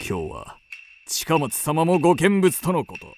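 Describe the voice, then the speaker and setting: A man's voice: a little high, big pitch swings, unhurried, a little hiss or hum. A man playing a proud, coolly theatrical kabuki star in a period radio drama, with stately old-fashioned speech. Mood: haughty, rousing.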